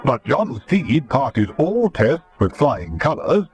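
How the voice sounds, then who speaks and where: A man narrates a vintage radio serial with wry, urbane polish. His medium-pitched voice moves expressively up and down over clearly audible music. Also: big pitch swings, slow pace.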